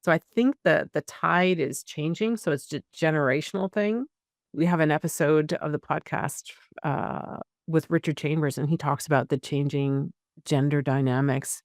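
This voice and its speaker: A woman's voice: sits low, normal speed, no room sound, studio quality. A middle-aged woman hosting a podcast interview, chatting in a conversational, encouraging way. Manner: conversational, encouraging, with frequent fillers and restarts. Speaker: podcast host. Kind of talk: casual interview chat.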